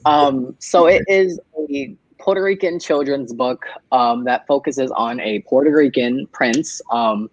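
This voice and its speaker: A man's voice: mid-range voice, measured pace, few pauses, no echo, low-quality recording. A man on a panel, a trainer and author, talking enthusiastically and a little rambling. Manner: warm, enthusiastic, a bit rambling. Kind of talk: casual panel talk.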